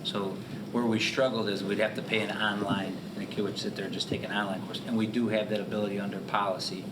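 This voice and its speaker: A man gives matter-of-fact explanations at a meeting as a school official. He talks quickly in a low, flat voice. Heavy noise runs behind him. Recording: very noisy meeting room pickup.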